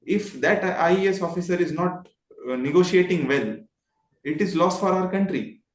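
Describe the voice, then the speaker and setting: A man's voice: sits high, lively intonation, normal speed. A man with an Indian accent speaking earnestly as a guest voice trainer, emphatic and repeating phrases for stress. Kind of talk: earnest motivational talk in a discussion. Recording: roomy, echoing sound.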